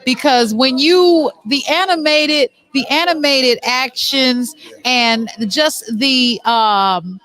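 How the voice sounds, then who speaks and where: A woman's voice: high voice, slow, hardly any pauses, a bit bright, rough recording. A middle-aged woman hosting a casual on-location interview, chatty and playful, generous with compliments. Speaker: show host and interviewer. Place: on location at a theatre after a stage play.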